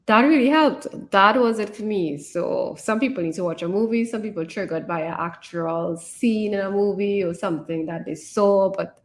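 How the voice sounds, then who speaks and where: A woman's voice: mid-range voice, normal speed, few pauses. A woman author chatting casually about writing in an encouraging way, often repeating phrases for emphasis. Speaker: author, co-presenter.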